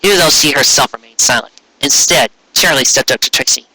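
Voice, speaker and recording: A man reading a story aloud in an expressive live style, with a casual way of talking. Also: medium pitch, fairly quick, husky, low-quality recording. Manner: expressive, acting out character dialogue. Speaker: reader of fan fiction.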